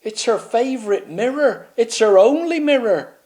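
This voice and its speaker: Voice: medium pitch, animated, moderate pace, a little mellow, voice pushed up, natural voice. The storyteller doing a blustering pompous knight. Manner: blustering, self-assured. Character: pompous know-it-all knight, man.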